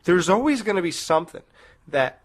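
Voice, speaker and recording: A man's voice: sits high, sing-song, brisk. A man hosting a podcast, chatting casually about movies in a rambling way. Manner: rambling, laughing, trailing off. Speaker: podcast co-host.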